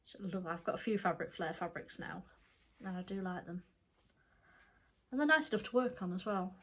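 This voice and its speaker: A middle-aged woman with a stuffed-up, congested voice. She talks in a casual, rambling way, often correcting herself mid-sentence, like a relaxed home vlog. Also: fairly low pitch, fairly quick. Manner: chatty, rambling, self-correcting. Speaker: cross stitch vlogger. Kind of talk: casual show-and-tell chat.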